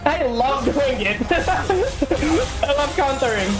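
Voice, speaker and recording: A woman from the Philippines streaming a game, chatting casually and getting excitable as she plays. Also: high voice, slow pace, rough recording. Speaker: VTuber streamer. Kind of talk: casual gaming chat. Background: game music.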